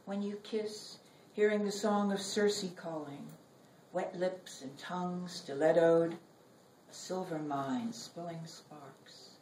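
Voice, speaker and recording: A middle-aged woman giving a live poetry reading in measured, deliberate phrases with dramatic pauses. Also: on the low side, dark tone. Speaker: poet reading own work. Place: library reading room. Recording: roomy, noisy recording, distant from mic.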